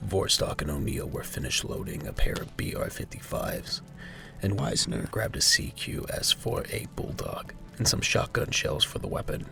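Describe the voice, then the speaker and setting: A man's voice: sits low, expressive, normal speed, gravelly. A man reading scripted narration for a military audio drama as its narrator. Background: music.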